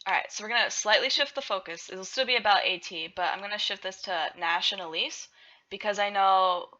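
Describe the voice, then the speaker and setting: A woman's voice: mid-range voice, a little fast, sharp tone, lo-fi sound. A woman hosting an online talk show, upbeat and moving the talk along. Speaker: host. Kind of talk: casual chat.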